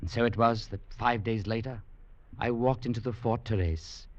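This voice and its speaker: An actor playing an earnest young gentleman, narrating in a measured storytelling manner in an old radio drama. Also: mid-range voice, steady tone, dry recording, natural voice. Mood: grave. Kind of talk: 1940s radio acting. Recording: lo-fi radio recording with music.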